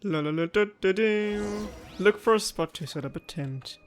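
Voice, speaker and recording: A woman's voice: medium pitch, animated, moderate pace, faint music behind. A woman doing casual gameplay commentary as a streamer, with playful, sarcastic quips. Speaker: let's play streamer.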